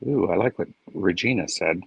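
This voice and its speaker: A man's voice: low-pitched, normal speed, vocal fry, breathy edge. A man joining a live tarot stream as a guest reader, talking in a hesitant, reflective way with lots of ums. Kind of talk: casual live-stream chat.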